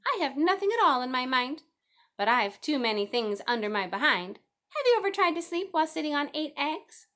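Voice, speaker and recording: A woman's voice: high-pitched, big pitch swings, a little fast, a bit bright, pitched above the performer's own voice. A woman voicing a fussy motherly goose in a children's storybook, stuttering and repeating her words. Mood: fussy, brisk. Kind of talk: children's storybook read-aloud.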